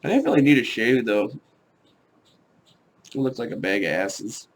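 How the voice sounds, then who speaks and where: A man's voice: medium pitch, big pitch swings, moderate pace, slight background noise. A man hosting a casual live stream, bantering loosely and swearing as he chats. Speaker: stream host. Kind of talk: casual chat.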